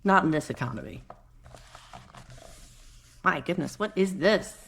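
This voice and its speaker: A soft-spoken woman reads a storybook to preschoolers at an unhurried pace. Her pitch rises and dips in a lively way as she reads. She is gentle and chatty, often slipping in little asides to the children. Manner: gentle, expressive, chatty with young listeners. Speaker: children's read-aloud host; mother. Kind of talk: picture-book read-aloud for preschoolers with conversational asides.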